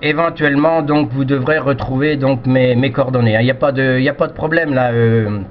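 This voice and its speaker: Male radio hobbyist, mid-pitched, polite and hesitant, casual rambling chat, muffled lo-fi sound with slight noise. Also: steady tone, brisk. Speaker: amateur CB radio operator. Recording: dull lo-fi radio audio with noise.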